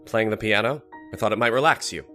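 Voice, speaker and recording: A man playing a composed, scheming doctor in an old-time radio mystery, speaking in a measured, coaxing way. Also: lowish voice, bright, forceful tone, faint music behind. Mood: calm, reassuring.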